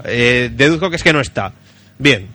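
A man's voice: mid-range voice, lively intonation, normal speed, sharp tone, no room sound. A man with an accent from Spain hosting a casual radio chat show in a playful, teasing, exclamatory way. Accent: Spanish from Spain. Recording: music behind the voice.

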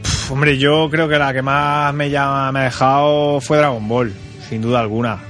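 A man's voice: medium pitch, steady tone, normal speed, fairly bright tone. A young man from Spain co-hosts a casual radio show in a mid-range voice. His talk is informal and joking, with music playing faintly behind. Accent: Spanish from Spain. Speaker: radio co-host.

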